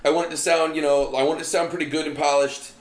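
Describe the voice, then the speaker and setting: A man who sings and writes lyrics in a band, talking quickly in a casual interview and dropping low when he gets serious. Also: fairly even intonation. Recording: echoey room with faint noise.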